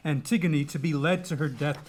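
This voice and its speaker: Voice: mid-range voice, fairly quick, darkish tone, natural voice. A reader playing a solemn, pleading citizen of a Greek chorus, declaiming earnestly in a dramatic reading. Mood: reverent, pleading.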